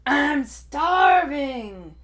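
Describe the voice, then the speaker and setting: A woman voicing a blustering, bossy male Tyrannosaurus big brother in a storybook, with loud, growling roars. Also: on the high side, takes it slowly, creaky, heavily acted.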